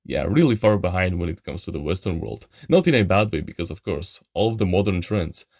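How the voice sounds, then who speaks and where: A young man with a lowish voice tells a story from his own life in loose, slangy chat and keeps calling the listener bro. He is from Eastern Europe and speaks English as a second language. The sound is dry and close, with no room echo. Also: lively intonation, fairly quick, vocal fry, a little mellow. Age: young adult. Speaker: channel host. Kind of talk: casual storytelling monologue.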